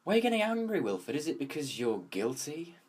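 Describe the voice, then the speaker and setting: A man's voice: fairly high pitch, sing-song, fairly quick, breathy edge, faint music behind. A man playing a suspicious police interrogator in an amateur radio drama, pressing with pointed questions.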